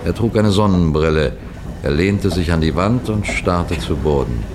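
Deep-voiced detective narrator. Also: male voice, lively intonation. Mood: suspenseful, measured. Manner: deliberate, dramatic storytelling with suspenseful pauses. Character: male police detective, tense, wary narrator recounting his mission. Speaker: narrator and lead character. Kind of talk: 1960s German radio crime drama narration. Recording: noisy vintage 1960s radio recording.

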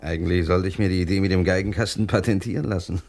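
An actor playing a cold, cocky, domineering killer in a crime radio play, curt and boastful. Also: male voice, low-pitched, fairly even intonation, quick pace, darkish tone. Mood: menacing, boastful. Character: cold, cocky hired-gun killer, brusque and domineering man.

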